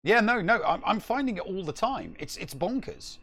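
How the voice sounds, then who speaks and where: A man's voice: high-pitched, animated, moderate pace, gravelly, fairly bright tone, a little hiss or hum. A man co-hosting a casual gaming podcast, speaking emphatically and repeating phrases for emphasis. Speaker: podcast co-host.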